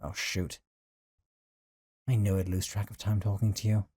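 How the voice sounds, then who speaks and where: A male actor plays a possessive young man in an audio drama, with a deep, mellow voice. He talks casually and intimately, as if to a close friend, with a jealous, lovesick streak under the teasing. The sound is close and dry. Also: little pitch movement, moderate pace, breathy edge, dry recording. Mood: fond, teasing. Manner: casual, teasing, sighing, conversational. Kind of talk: intimate audio roleplay drama, one side of a call.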